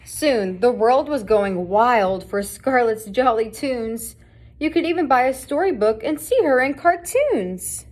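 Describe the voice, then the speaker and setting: Woman, higher-pitched voice, storybook read-aloud, children's narration. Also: animated, measured pace, a little mellow. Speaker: storybook reader.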